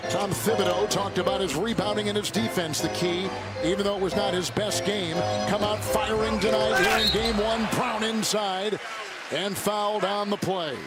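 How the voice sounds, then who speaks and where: A man's voice: sits high, fairly even intonation, normal speed. A man calling live basketball play-by-play in clipped, polished broadcast phrases. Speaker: play-by-play announcer. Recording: loud music over broadcast feed.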